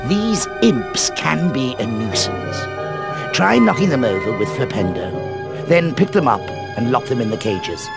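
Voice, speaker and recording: An actor playing an eccentric, eerie old British wand maker in a video game, speaking in a theatrical, courteous, drawn-out way. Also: male voice, medium pitch, sing-song, creaky. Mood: instructive. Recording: game music behind the voice.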